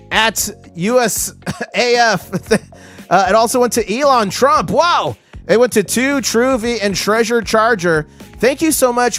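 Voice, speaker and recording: A man hosting a casual livestream, his voice hoarse, talking with excitable hype-man energy. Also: sits high. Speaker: livestream host.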